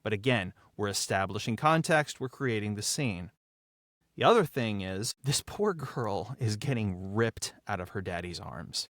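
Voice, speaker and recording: A man speaking as a podcast guest, an audio drama writer and actor reflecting on his work with emphatic, dramatic phrasing and deliberate pauses. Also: lowish voice, lively intonation, a bit bright, no echo, clean studio sound. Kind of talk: reflective behind-the-scenes discussion.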